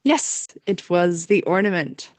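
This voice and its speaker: A woman's voice: fairly low pitch, animated, unhurried, a little mellow, no echo. A woman reading scripted story narration as the narrator of an amateur audio drama.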